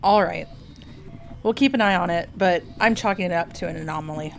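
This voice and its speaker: An actress playing a casual, level-headed scientist in an audio drama, with an offhand, dry way of talking. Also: female voice, lowish voice, brisk, vocal fry, soft background music. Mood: focused, puzzled.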